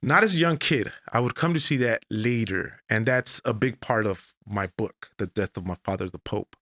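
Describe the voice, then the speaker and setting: A man in his forties, originally from Mexico, telling his life story as a guest on an interview podcast in a deliberate, measured way. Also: fairly low pitch, pushed and bright, no echo, studio quality. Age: 40s. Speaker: guest, English professor.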